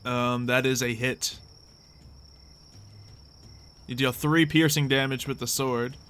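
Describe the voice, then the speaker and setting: A man with a bright, keen-edged voice runs a tabletop game. He improvises the action and talks through the rules casually. A light layer of insect-like ambience sits behind his medium-pitched voice. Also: fairly even intonation, normal speed, slight background noise. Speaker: game master.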